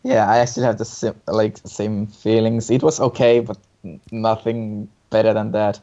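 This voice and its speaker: A man's voice: lowish voice, flat delivery, moderate pace, warm tone. A man co-hosting a casual review chat, giving opinions hesitantly and often repeating his words. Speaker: co-host reviewing song contest entries. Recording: dull lo-fi sound.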